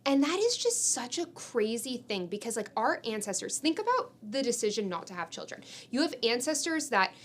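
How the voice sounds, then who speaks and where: A woman's voice: on the high side, sing-song, moderate pace, hardly any pauses, a little hiss or hum. Emphatic young woman podcaster. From San Francisco Bay Area, United States. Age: 20s. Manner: emphatic, informal, uses "like" often. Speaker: podcast co-host. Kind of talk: opinionated conversational podcast talk.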